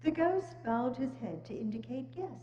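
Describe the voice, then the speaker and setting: Female narrator, warm dark tone, scripted dramatic reading; muffled, noisy live hall recording. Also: high-pitched, lively intonation, moderate pace. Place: large church hall, indoors. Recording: muffled echoey church hall, humming noise.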